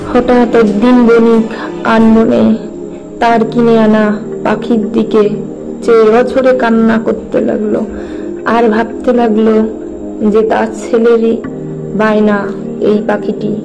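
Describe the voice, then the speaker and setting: A woman narrates a cartoon fairy tale in a fairly high voice. Her delivery is scripted storybook narration, set over clearly audible music. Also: flat delivery, slow, long pauses, low-quality recording.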